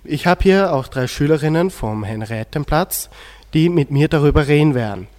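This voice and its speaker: A man's voice: on the high side, expressive, normal speed, a little mellow. A male teenage student with an Austrian accent, moderating a radio discussion. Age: teens. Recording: long hall-like reverb.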